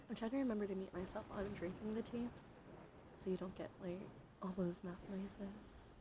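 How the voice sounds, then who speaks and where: A woman live streamer chatting casually in an ASMR style, soft-spoken and often slipping into breathy whispers, close to the microphone. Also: mid-range voice, fairly even intonation, moderate pace, pauses often, noisy background. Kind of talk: casual ASMR livestream chat.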